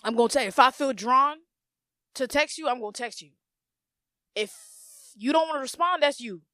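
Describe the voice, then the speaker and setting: A young woman with a slightly higher voice talks straight into the mic on her own podcast, blunt and profane, circling back to repeat her points. The sound is dry and close, with no room around it. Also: moderate pace, sharp tone, no room sound. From United States, Haitian family. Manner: blunt, profane, emphatic, often repeats phrases. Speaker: podcast host. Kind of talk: casual solo podcast monologue.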